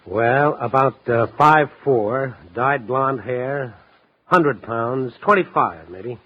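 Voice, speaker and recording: An actor playing a world-weary, wry newspaper reporter, narrating and acting in a 1950s radio drama. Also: male voice, medium pitch, lively intonation, slow pace, slightly rough. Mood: matter-of-fact. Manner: hard-boiled, wry. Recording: muffled vintage radio recording with music.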